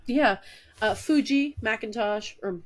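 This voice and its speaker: A woman's voice: on the high side, measured pace. Stuffy-voiced woman co-host, wry and dry, reading a list aloud in casual chat. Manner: wry, reads names and facts off a post. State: stuffed up from allergies. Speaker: podcast co-host.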